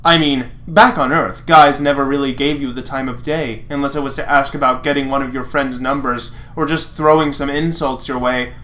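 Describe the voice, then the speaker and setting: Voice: mid-range voice, fairly even intonation, normal speed, few pauses, sharp tone. A sarcastic, mocking narrator reading fan fiction aloud and breaking off for dry commentary. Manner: sardonic, mocking. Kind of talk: dramatic reading with sarcastic asides.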